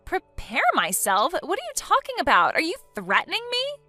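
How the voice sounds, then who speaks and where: A woman's voice: high-pitched, wildly swooping pitch, normal speed, sharp tone, no room sound, faint music behind, pitched above the performer's own voice, exaggerated voice. A woman voicing a selfish, entitled young woman who reacts with whiny, indignant outbursts.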